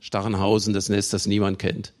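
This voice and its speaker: A man from Germany preaching a sermon, with emphatic delivery, deliberate pauses and conversational asides to his listeners. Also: lowish voice, monotone, darkish tone. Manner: emphatic, with dramatic slow phrasing and pauses. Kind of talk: sermon to a congregation.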